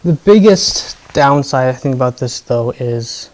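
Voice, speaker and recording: Mellow, unhurried man testing his mic. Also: medium pitch. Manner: relaxed, thinks aloud, says "right" often. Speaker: streamer setting up his gear. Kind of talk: casual chat while sound-checking.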